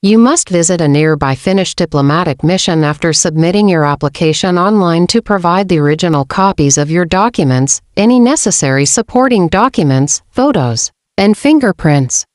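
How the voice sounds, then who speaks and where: Woman reading a script, lowish voice, super dry close mic, steady read-aloud. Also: normal speed, hardly any pauses, no room sound. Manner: even, read-aloud delivery. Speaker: channel narrator. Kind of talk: scripted voice-over narration.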